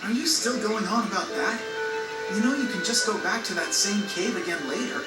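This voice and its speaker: A man's voice: high-pitched, fairly quick, natural voice. A man playing an easygoing, reassuring teenage boy adventurer in a video game dub. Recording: distant roomy game audio, faint music.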